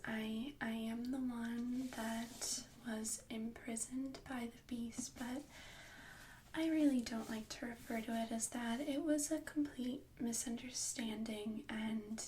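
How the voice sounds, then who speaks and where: A young woman voicing a kind, bookish young princess in a soft ASMR whisper, hesitant and gently reassuring. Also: fairly high pitch, a little hiss or hum. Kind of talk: ASMR roleplay.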